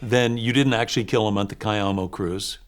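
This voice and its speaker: A man hosting a radio interview, asking calm questions and giving quiet affirmations, recorded in a studio. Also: fairly low pitch, a little fast, smooth. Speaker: radio show host. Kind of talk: interview questions, brief backchannels.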